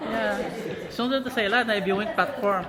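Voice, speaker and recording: A man's voice: sits high, fairly even intonation, moderate pace. A man with a Filipino accent giving casual travel narration as a vlogger. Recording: loud crowd chatter behind. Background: busy crowd noise.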